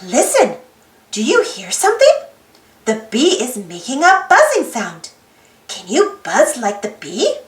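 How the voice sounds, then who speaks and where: A woman reading a children's storybook aloud in an expressive, sing-song way, putting simple questions to young listeners. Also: fairly high pitch, measured pace, roomy sound. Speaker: storybook reader. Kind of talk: children's read-aloud narration.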